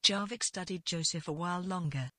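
Slightly low, husky woman narrating fiction. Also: a little fast, bright, forceful tone, no echo, clean studio sound. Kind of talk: scripted literary fiction narration.

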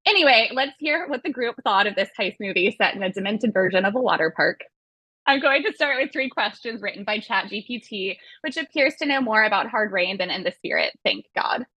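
A woman's voice: mid-range voice, expressive, fairly quick, sharp tone, breathy edge, no echo, poor audio. A woman hosting a podcast, reading prepared material with wry, playful casual asides. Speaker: podcast host and moderator.

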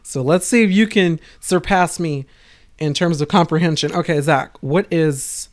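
A woman hosting a casual podcast, her voice raspy and tired, talking in a rambling, halting way with frequent pauses. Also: low voice, darkish tone. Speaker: podcast host.

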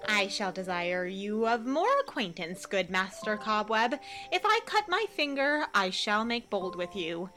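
Lady doing a foolish weaver guy, super polite and pompous, bright sharp voice, music behind, radio play. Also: medium pitch, sing-song, measured pace, few pauses. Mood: pompous courtesy. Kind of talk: comic Shakespearean radio play acting.

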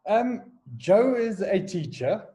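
A South African man in his forties speaks in a mellow, slightly high voice. He talks in a relaxed interview way, stumbling and repeating words as he gathers his thoughts. He is a school headmaster telling his story. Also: takes it slowly. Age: 40s. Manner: hesitant, many restarts and repeated words. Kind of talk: casual podcast interview. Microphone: call recording.

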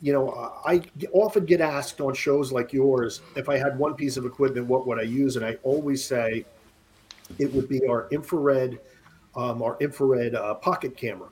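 A man's voice: medium pitch, normal speed, darkish tone, rough recording. A man in his late fifties, a podcast guest, chatting casually and rambling with many restarts and jokes. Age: 50s. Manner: rambling, restarts often, jokey. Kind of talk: casual chat.

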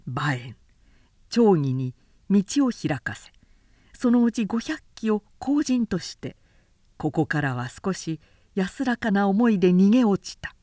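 A woman who works as a narrator reads a literary tale dramatically, in a measured, carefully articulated way. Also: medium pitch, very animated, warm tone, no room sound, clean studio sound. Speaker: professional narrator.

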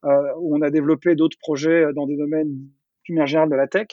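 A man's voice: fairly high pitch, level tone, fast, warm tone, no echo, dull audio. A middle-aged man talking casually in an interview, chatty and full of restarts and repeated words. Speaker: entrepreneur interviewee.

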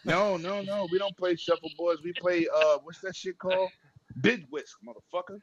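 A man co-hosting a casual gaming chat, loud and profane, cracking jokes and laughing often. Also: high voice, fairly even intonation, normal speed, no room sound, lo-fi sound. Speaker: podcast co-host.

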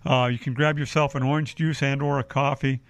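A man's voice: medium pitch, level tone, a little fast, no room sound. A man hosting a live radio shopping show, reading deals and taking calls, with lots of uh fillers. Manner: chatty, many uh fillers. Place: radio studio.

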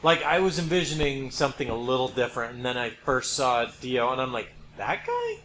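A man's voice: mid-range voice, wildly swooping pitch, brisk, roomy sound, noisy background. A man co-hosting a podcast in casual, conversational chat. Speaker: podcast co-host.

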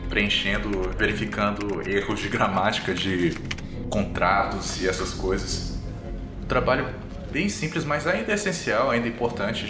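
A man with a Brazilian accent improvising the narration of a tabletop game as game master, descriptive and a little halting. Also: lowish voice. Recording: echoey room, music underneath. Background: music.

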